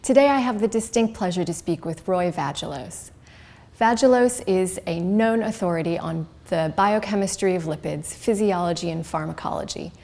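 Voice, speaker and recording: A woman's voice: fairly low pitch, normal speed, gravelly, a little mellow. A woman hosting an interview, reading a formal, polished introduction and asking questions. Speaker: interviewer, host.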